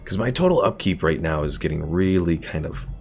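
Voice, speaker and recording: A man's voice: low-pitched, expressive, brisk, gravelly. A man streaming a game with casual live commentary, thinking aloud as he plays. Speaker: gaming streamer.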